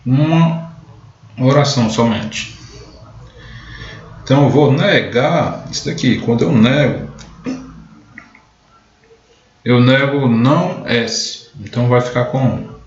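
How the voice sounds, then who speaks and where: A man's voice: mid-range voice, unhurried. A man teaching a logic class in a live online lesson, explaining step by step and often starting with "então". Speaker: math teacher. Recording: echoey room, dull lo-fi sound.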